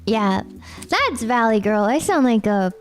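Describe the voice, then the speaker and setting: Chatty high-voiced Canadian woman streamer. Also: sing-song, measured pace, soft background music. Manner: chatty, rambling, addresses viewers as Cookie. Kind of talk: casual chat with chat readers.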